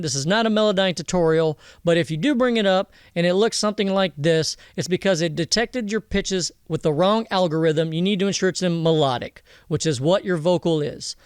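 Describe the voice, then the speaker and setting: High-pitched, smooth-voiced man giving a slangy tutorial. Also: fairly quick, no room sound, studio quality. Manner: slangy, jokey, irreverent. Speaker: tutorial presenter. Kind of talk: casual software tutorial.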